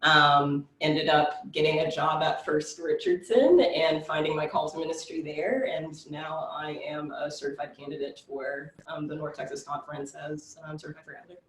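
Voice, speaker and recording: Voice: medium pitch, steady tone, moderate pace, few pauses, a bit bright. A young adult from South Carolina introducing themselves on a church panel, reflective and full of ums. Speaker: church welcoming director. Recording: echoey room, distant mic.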